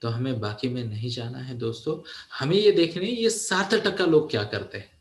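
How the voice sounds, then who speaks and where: Brief: forties male mentor, mid pitch, coaxing talk in an echoey room. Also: expressive, normal speed. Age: 40s. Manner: mixes English phrases into Hindi, asks "है ना?" often. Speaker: teenage mentor and coach. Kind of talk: motivational talk to young listeners.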